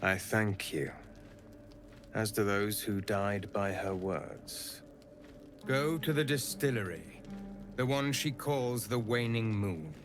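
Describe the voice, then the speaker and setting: Slow, solemn spirit of justice. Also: male voice, fairly low pitch, lively intonation, faint music behind. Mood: grave, measured. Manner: slow, grave, archaic phrasing. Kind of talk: video game character dialogue.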